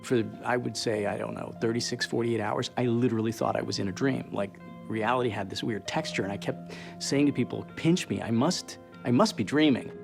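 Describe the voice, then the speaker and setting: A man's voice: medium pitch, a little fast, gravelly, over music. A man casually recounting his own experience in a documentary interview.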